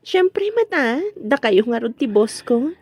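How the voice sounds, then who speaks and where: Casting: female actor for a caring, courteous young Ilocano Filipino secretary in a serial drama, high voice, dry recording. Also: sing-song, slow pace, a little mellow, slightly rough, no room sound, exaggerated voice. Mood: respectful, friendly. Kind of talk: Ilocano drama series voice acting.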